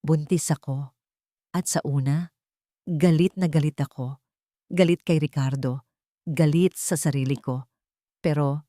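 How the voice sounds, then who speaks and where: Wounded heroine of a story drama, read by a woman, earnest, confiding, low register. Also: warm tone, no echo, clean studio sound. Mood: tearful confession. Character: wounded, soul-searching pregnant woman finding peace.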